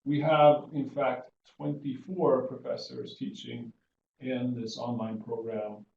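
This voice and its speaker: A middle-aged man from Sweden presents as a professor, stopping and restarting as he goes. His voice has a lot of fry, and the sound is roomy with faint background noise. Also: mid-range voice, flat delivery, slow pace, warm tone. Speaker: business school professor presenting webinar. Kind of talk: informal slide presentation. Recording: muffled, echoey room, faint noise.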